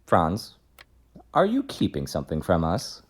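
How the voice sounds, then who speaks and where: A man acting a sceptical, articulate gentleman of 1840s Paris in an audio drama, reasoning in a measured way. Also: low voice, wildly swooping pitch, husky, heavily acted. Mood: probing.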